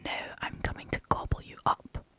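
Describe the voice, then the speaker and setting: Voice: normal speed, natural voice. A British storyteller voicing a menacing male bridge troll from a storybook in a demanding whisper.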